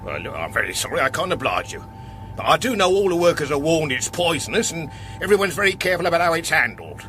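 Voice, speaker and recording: Casting: impatient works employee in radio drama, brusque and defensive, rapid, lively pitch. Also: male voice, a little hiss or hum. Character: curt, defensive male office employee at a factory. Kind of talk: British radio drama acting.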